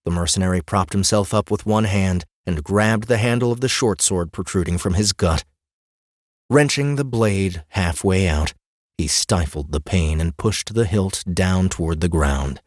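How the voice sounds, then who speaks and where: A man narrating a fantasy audiobook in a dramatic, deliberate way, with measured pauses. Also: low-pitched, dry recording, clean studio sound. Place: dry recording studio.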